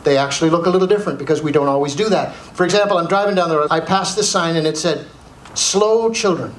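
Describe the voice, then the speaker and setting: A middle-aged Canadian man giving a humorous motivational keynote as an animated storyteller, repeating phrases for emphasis. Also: fairly high pitch, very fast. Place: indoor speaking venue with audience. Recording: roomy live hall, faint background noise.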